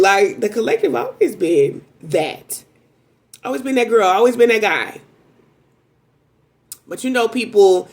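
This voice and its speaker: A woman giving a casual, rambling tarot reading to viewers, talking in a strong voice that jumps into sudden high exclamations. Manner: self-described strong voice. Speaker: tarot reader.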